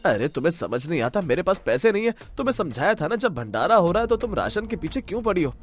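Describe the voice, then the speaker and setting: A man's voice: sits high, big pitch swings, fast talker, soft background music. A man voicing a stubborn, brash village freeloader in a cartoon story, arguing in exclamations. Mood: irritated. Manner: argumentative, exclamatory.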